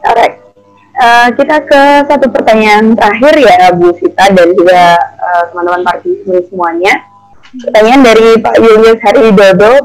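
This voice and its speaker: A woman's voice: on the high side, rough recording. Chatty woman moderating a webinar. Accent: Indonesian. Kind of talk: informal hosting, reading out questions. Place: indoor room, on video call.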